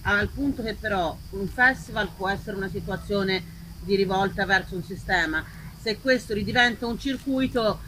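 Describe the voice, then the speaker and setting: A woman's voice: medium pitch, moderate pace, sharp tone. A woman putting long, rambling, insistent questions in an informal discussion at a live event. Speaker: festival organiser questioning the guest. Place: outdoor evening event in an olive grove. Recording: noisy live event recording.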